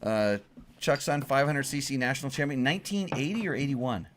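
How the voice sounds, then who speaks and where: A middle-aged man hosting a relaxed conversation, friendly and prone to stumbling restarts. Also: medium pitch, brisk, slight background noise. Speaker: host. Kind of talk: casual chat.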